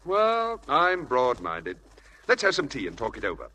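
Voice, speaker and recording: An actor playing a charming, feckless young husband in a 1940s radio drama, flippant, glib and coaxing. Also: male voice, mid-range voice, huge pitch swings, normal speed, pushed and bright, rough recording. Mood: flippant, evasive. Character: charming, feckless spendthrift husband, breezy and evasive. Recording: hissy old radio broadcast.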